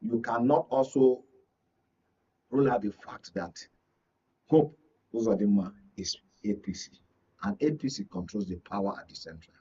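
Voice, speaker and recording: A man with a Nigerian accent speaking as a media analyst in a live political discussion, phrasing his points deliberately and with emphasis. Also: fairly low pitch, warm tone, husky, highs cut off. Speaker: media analyst, guest pundit.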